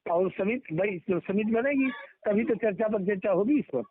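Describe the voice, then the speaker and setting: A man's voice: sits high, normal speed. A middle-aged man in an informal phone conversation, repeating words and phrases emphatically, as a union leader. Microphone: telephone. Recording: muffled recorded phone call.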